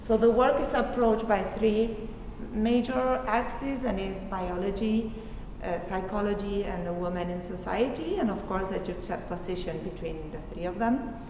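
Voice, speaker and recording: A woman's voice: medium pitch, moderate pace. Echoey far-off woman from Argentina. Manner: thoughtful, searching for words, many fillers. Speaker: artist presenting her work. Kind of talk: gallery talk. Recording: echoey hall recorded from far off, noisy.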